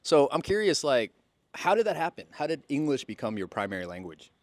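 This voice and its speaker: A man's voice: a little high, sing-song, fairly quick, dry recording. Curious male interviewer. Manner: curious, asks follow-up questions. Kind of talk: casual conversational interview. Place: in Vietnam.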